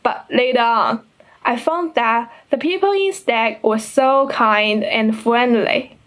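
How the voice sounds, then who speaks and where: A young woman from China with a Chinese accent, an international student giving an earnest, carefully paced assembly speech in her second language. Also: fairly high pitch. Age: young adult. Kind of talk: prepared school assembly speech.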